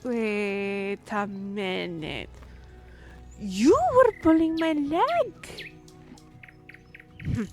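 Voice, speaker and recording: A woman's voice: medium pitch, very animated, very slow, pauses often, faint music behind, exaggerated voice. A woman playing an earnest, easily flustered young half-elf royal liaison in a fantasy audio roleplay, with a stammering, pleading manner. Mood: flustered, apologetic. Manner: breathy sighs, stammering, pleading.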